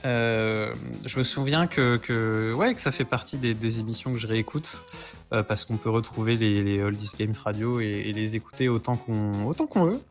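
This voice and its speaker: A man's voice: fairly low pitch, normal speed, soft background music. A man chatting casually as a podcast guest or co-host, hesitating and repeating his words. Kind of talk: casual chat.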